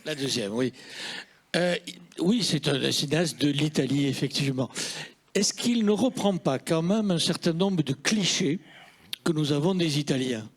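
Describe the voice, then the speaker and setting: A man in the audience asking a question at a festival round table, halting and full of fillers. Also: on the high side, expressive, slightly rough. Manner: halting, many fillers and restarts. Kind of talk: hesitant question from the floor.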